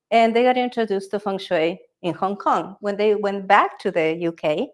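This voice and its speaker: A woman speaks at an ordinary middle pitch. She presents a live workshop as a feng shui consultant, keeping her tone conversational and often checking in with her listeners. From Ecuador. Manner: conversational, checks in with tag questions.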